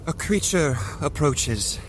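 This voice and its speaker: Young male scientist, grandiose and obsessed; highish, swooping delivery, theatrical audio drama. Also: expressive, takes it slowly, slight background noise. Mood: grave, foreboding. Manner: declamatory, fervent, formal diction.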